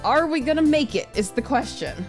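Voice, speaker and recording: A woman streamer chatting casually through a game, expressive and giggly, often swearing and interrupting herself. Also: high-pitched, normal speed, faint music behind. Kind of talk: live visual novel playthrough commentary, casual chat.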